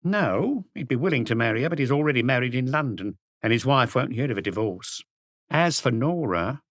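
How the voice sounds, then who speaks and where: A man's voice: medium pitch, animated, brisk, a bit bright, no echo, studio quality, voice pushed up. A man voicing a plump, gossipy middle-aged woman who runs a café, in an audiobook reading. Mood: gossiping, scornful. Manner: chattering, scornful, indignant.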